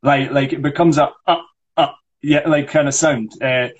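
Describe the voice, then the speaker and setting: Scottish man, husky, slightly high voice, accent coach, live-stream chat. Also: fairly even intonation, moderate pace, muffled recording. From Scotland. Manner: encouraging, explains pronunciation step by step. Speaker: Scottish actor teaching accent. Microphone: phone or webcam on a live stream.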